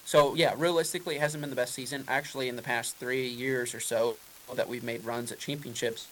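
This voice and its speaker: A male race driver answers questions at a press conference, rambling casually and restarting his sentences. His voice sits at an everyday middle pitch, with a faint hiss behind it. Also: steady tone, a little fast, fairly bright tone, rough recording.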